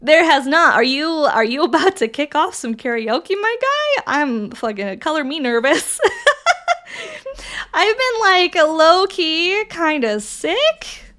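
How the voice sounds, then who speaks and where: A woman livestreamer chatting casually with viewers, reading their messages aloud, swearing freely and laughing often, her voice raspy from allergies. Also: high-pitched, sing-song, slow pace, bright, forceful tone.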